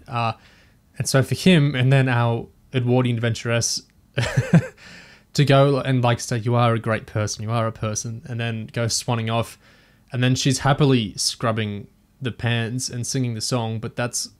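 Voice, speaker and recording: A man's voice: medium pitch, fairly even intonation, normal speed. A man chatting casually and thoughtfully as a panelist on a review podcast, feeling his way through his points.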